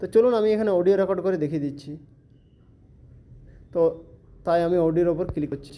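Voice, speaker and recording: A man's voice: sits high, quick pace, mellow. A man giving a step-by-step spoken app tutorial in an instructional, repetitive way. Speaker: tutorial presenter.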